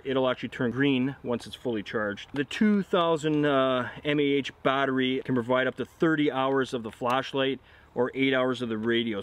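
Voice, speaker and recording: A middle-aged man reviewing a product in a casual, conversational, explanatory way. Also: medium pitch.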